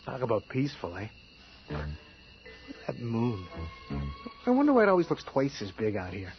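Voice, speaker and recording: Tense, highly animated husband. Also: male voice, normal speed, faint music behind. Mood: anxious, coaxing. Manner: stammering, insistent. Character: tense, domineering, worried husband. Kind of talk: old-time radio drama acting. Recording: muffled, noisy old radio broadcast.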